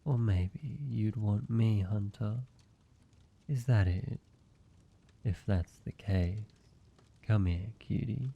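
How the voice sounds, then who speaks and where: A man playing a slow, creaky-voiced teasing vampire. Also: on the low side, mellow, dull audio, full character voice. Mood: flirtatious. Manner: playful mock-menacing, teasing, drawn-out delivery. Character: teasing, ancient male vampire, courteous and flirtatious. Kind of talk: scripted roleplay audio drama.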